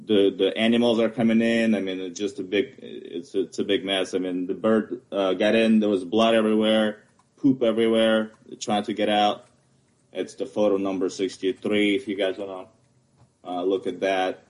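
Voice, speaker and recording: A man from Ukraine with a Ukrainian accent, English not his first language, haltingly explaining his property appeal at a hearing. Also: fairly low pitch, level tone, a little mellow. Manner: halting, searching for words. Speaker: homeowner appealing a property assessment.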